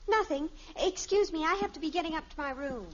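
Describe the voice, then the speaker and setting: An actress playing a lovestruck, headstrong American teenage daughter in a 1950s radio sitcom, earnest and melodramatic. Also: female voice, high voice, a little fast, natural voice. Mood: pleading. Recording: muffled old radio recording.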